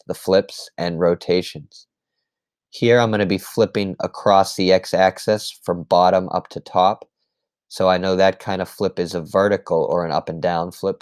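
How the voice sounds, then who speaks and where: A man teaching a math lesson recap, explaining step by step in a patient way, his voice slightly hoarse. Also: deep voice, darkish tone, no echo, studio quality. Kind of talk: screen-recorded lesson recap.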